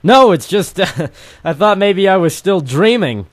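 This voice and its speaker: A man's voice: sits high, lively intonation, unhurried, no room sound. A man playing a world-weary, wisecracking private detective in an old-time radio drama style, with theatrical noir delivery. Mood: wry, flirty. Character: world-weary hardboiled male private detective narrator, ex-Marine. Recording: music behind the voice.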